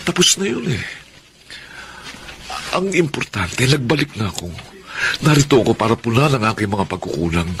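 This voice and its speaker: Pleading Filipino ex-boyfriend, medium-pitched. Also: male voice, a bit bright, a little hiss or hum. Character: contrite, pleading young ex-boyfriend, earnest and insistent. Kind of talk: Filipino radio drama acting.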